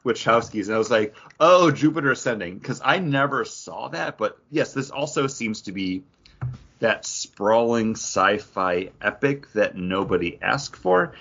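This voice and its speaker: Male podcast host, raspy and conversational, slightly dull recording, casual geek talk. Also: mid-range voice, animated, measured pace, fairly bright tone. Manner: opinionated, conversational. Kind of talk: casual geek-news discussion.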